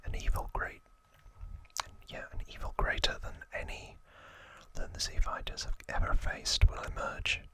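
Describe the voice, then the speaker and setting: An ASMR host whispering gently and deliberately, drawing words out as they present to the listener. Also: low-quality recording.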